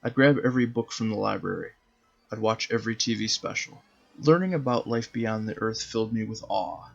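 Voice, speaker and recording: A man's voice: lowish voice, brisk, creaky, lo-fi sound. A man narrating his own short story in a dramatic storybook style. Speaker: author reading his own zine.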